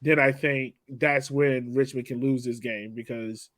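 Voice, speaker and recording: A man's voice: mid-range voice, steady tone, a little slow, a bit bright, no room sound. A man co-hosting a sports podcast, talking casually and conversationally as in a post-game analysis. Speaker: podcast co-host.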